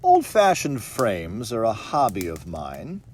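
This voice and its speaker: A man reading a ghost story as dramatic narration, with measured, theatrical phrasing and deliberate pauses. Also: fairly low pitch, wildly swooping pitch, darkish tone, slight background noise. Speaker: audiobook reader.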